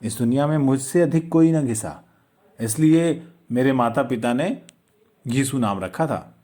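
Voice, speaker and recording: A man voicing a humble, gentle young money-changer in a storybook, with playful, self-deprecating banter. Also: medium pitch, unhurried, lightly acted. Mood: playful, wistful. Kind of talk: storybook character dialogue.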